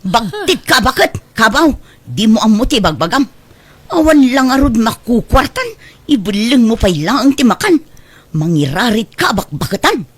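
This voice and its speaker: A woman's voice: on the high side, sing-song, moderate pace. A woman playing a short-tempered middle-aged mother in a radio drama, scolding and exasperated. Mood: irritated. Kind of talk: Ilocano radio drama acting.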